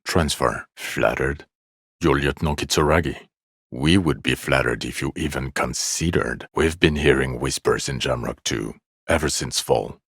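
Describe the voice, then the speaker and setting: An extremely low, creaky male voice for a middle-aged police detective in a video game. He is jaded and blunt, dripping with weary sarcasm. The sound is close and dry, with no room on it. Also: unhurried, a bit bright, no echo, full character voice. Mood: grave.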